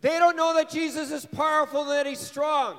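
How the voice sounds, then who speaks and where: A man leading a touring band who speaks to a church audience in short, energetic phrases, pausing for an interpreter. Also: very high voice. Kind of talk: English speech with live interpreter. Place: church hall. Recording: reverberant hall.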